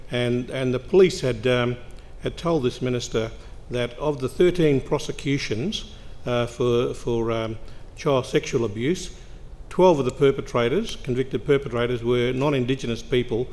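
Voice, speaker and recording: A man's voice: mid-range voice, moderate pace, hardly any pauses, a little mellow. Hesitant man on a panel. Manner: hesitant, many fillers and restarts, earnest. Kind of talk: conference panel remarks.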